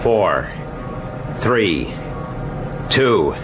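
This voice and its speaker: An actor playing a naval announcer in a radio thriller, calling out in a terse, formal, commanding way. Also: male voice, big pitch swings, drawn-out words, full character voice. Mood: clipped, tense. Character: clipped male naval countdown announcer. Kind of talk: radio drama technical announcements. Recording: very noisy, engine rumble behind.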